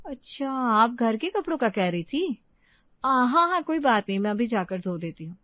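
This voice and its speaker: A woman's voice: a little high, very animated, normal speed, dry recording, muffled recording. A woman narrator voicing a young, dutiful but touchy daughter-in-law in a cartoon story. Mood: eager, dutiful. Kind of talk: Hindi cartoon story dialogue.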